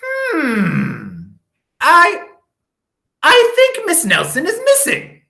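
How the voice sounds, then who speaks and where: A man voicing a slow-witted police detective who ponders and hesitates in a storybook reading. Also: extremely high pitch, huge pitch swings, vocal fry, bright, forceful tone, roomy sound, voice pushed up, heavily acted. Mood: puzzled. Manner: pondering, stammering.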